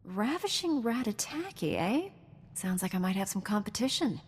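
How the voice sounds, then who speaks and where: An actress playing a composed, gently teasing young Jedi knight in a video game, measured and earnest. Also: female voice, medium pitch, very animated, in a large hall, slight background noise, natural voice. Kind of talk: video game cutscene acting.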